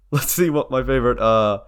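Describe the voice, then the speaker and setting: A man's voice: mid-range voice, normal speed, smooth. A young man giving casual, rambling behind-the-scenes commentary for a video, prone to giggling. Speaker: video creator and fan dub member.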